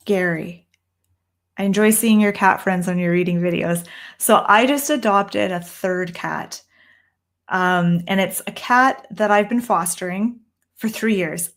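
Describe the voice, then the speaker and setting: Chatty Canadian woman on a livestream. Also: mid-range voice. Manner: chatty, thinks aloud with frequent ums and restarts. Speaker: tarot reader and livestream host. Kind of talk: casual livestream Q&A. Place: downstairs room at home.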